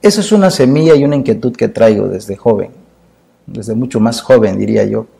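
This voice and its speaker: A Mexican man in his fifties, a poet and chronicler, speaking in a measured, earnest way as an interview guest in a dark studio. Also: fairly low pitch, gravelly, husky. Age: 50s. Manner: measured, earnest, lists ideas in phrases. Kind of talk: reflective interview talk.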